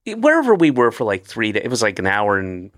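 A man's voice: lowish voice, huge pitch swings, a little fast, fairly bright tone, dry recording, studio quality. A man in his late fifties hosting a podcast, chatting casually and rambling with self-deprecating asides and restarts. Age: 50s. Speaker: podcast host. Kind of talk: casual chat.

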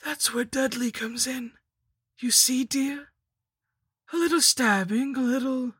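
A cruel, ancient undead sorceress from a dark fantasy audiobook. Her voice is pitched high and husky, sweetly menacing and gloating, recorded close and dry. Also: slow, dry recording, full character voice. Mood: coaxing, gloating. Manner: mock-sweet and menacing, hissing outbursts.

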